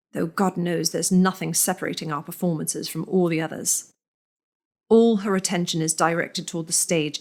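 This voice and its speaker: A woman narrating a first-person story in a measured, deliberate reading with lingering pauses. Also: fairly low pitch, a little mellow.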